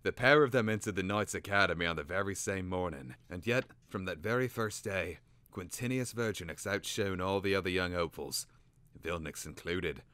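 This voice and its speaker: A man's voice: low voice, moderate pace, pushed and bright, clean tone, no echo. A man doing a testy talking caterbird. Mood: storytelling. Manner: impatient, hissing. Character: talkative, testy male caterbird storyteller.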